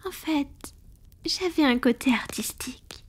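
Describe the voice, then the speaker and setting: A woman playing a tender, proud centuries-old vampire in an intimate ASMR roleplay, murmuring slowly in a soft, breathy whisper. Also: high-pitched. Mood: wistful, confiding. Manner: slow, intimate, affectionate murmuring. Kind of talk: French-language ASMR romantic roleplay.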